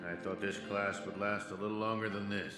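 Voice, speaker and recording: An actor playing a scheming, condescending professor villain who lectures in a theatrical way. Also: male voice, fairly low pitch, flat delivery, a little fast, close to an everyday voice. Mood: smug lecturing. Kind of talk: video game voice acting. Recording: roomy game audio with music.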